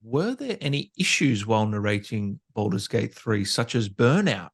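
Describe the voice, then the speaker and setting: A man's voice: lowish voice, very animated, moderate pace, creaky. Man hosting a casual interview. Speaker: interview host. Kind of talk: casual interview chat, reading audience questions.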